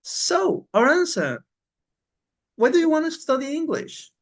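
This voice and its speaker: A man's voice: extremely high pitch, very animated, measured pace. Encouraging male English teacher. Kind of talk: informal online language lesson. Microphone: online class call.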